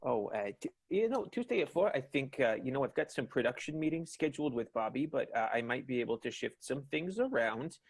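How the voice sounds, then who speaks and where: An actor playing a flustered, evasive boss who stammers and hedges in an improvised radio comedy. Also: male voice, mid-range voice, very animated, vocal fry, breathy edge, heavily acted. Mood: nervous, evasive. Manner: halting, stammering, hedging. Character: flustered, evasive male 1920s boss stalling nervously.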